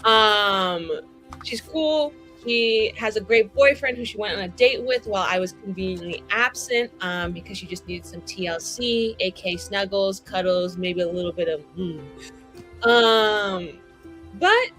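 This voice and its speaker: A woman's voice: mid-range voice, unhurried, fairly bright tone. A woman talking casually and playfully as a tabletop game player, with a theatrical, effusive way of putting things. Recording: music behind the voice. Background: music.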